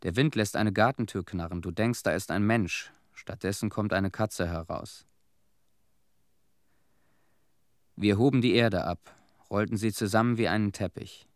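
An actor playing a weary, bitter former cleanup worker who recalls events in a terse, sober monologue for a German radio play. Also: male voice, fairly low pitch, normal speed, dry recording, clean studio sound, lightly acted. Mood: sober recollection.

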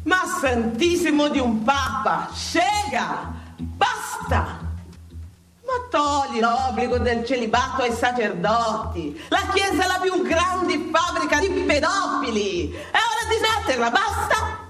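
A woman's voice: high-pitched, big pitch swings, slow, reverberant, music in the background, exaggerated voice. A woman playing an impassioned, scolding God in a satirical stage monologue, declaiming with theatrical emphasis. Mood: exasperated, irreverent.

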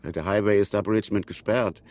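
Actor as a lowish-voiced motel keeper. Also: male voice, fairly even intonation, brisk, a little mellow, natural voice. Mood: matter-of-fact. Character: matter-of-fact motel keeper, helpful, apologetic. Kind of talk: radio drama acting.

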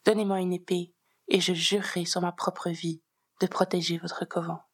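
A woman voicing a proud young female fencer, sharp and assured, eager to serve, in a narrated story. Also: fairly low pitch, flat delivery, moderate pace, close to an everyday voice. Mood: sharp, confident.

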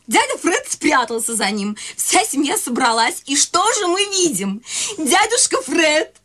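An actress playing an excitable, chattering young woman in a radio play, theatrical and gushing. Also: high voice, unhurried, exaggerated voice. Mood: chatty, amused. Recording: music behind the voice.

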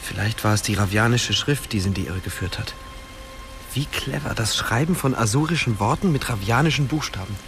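A man's voice: lowish voice, expressive, a little fast. An actor playing a clever, self-assured young man in a fantasy radio drama, speaking confidently and articulately. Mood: smug, excited. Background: clear music.

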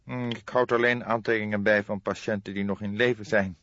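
A man's voice: on the low side, fairly even intonation, fairly quick, fairly bright tone, no echo, lo-fi sound, pitched above the performer's own voice, lightly acted. A man voicing a haughty, evasive doctor in his late fifties for an audiobook, growling and hemming nonchalantly. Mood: evasive, indifferent. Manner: nonchalant, hesitant hemming and drawling.

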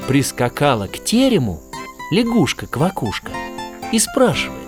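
A man narrating a children's fairy tale in an expressive, theatrical storytelling style. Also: fairly high pitch, normal speed. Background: children's music.

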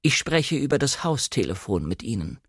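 A man voicing a coolly deferential female housekeeper of a manor in an audiobook drama, with formal, measured politeness. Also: mid-range voice, very animated, husky, no room sound, studio quality.

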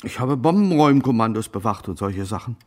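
An actor playing an elderly, self-justifying former Nazi policeman in a German radio drama, speaking haltingly and evasively. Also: mid-range voice, lively intonation, close to an everyday voice. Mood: guarded. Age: older, about 80s.

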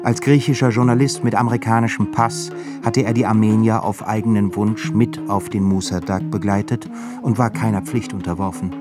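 A man performing as a measured, literary narrator in a scripted radio drama. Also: fairly low pitch, steady tone, close to an everyday voice. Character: measured male narrator of an epic novel. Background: prominent music.

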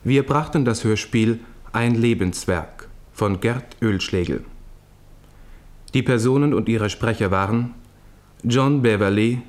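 Male radio announcer, slow and formal, medium pitch, reading credits.

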